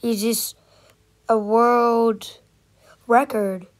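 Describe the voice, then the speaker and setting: A young woman vlogging with a stuffy cold, sniffling, talking in unhurried bursts that jump into excited gasps and squeals. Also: on the high side. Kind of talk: casual screen-recording vlog.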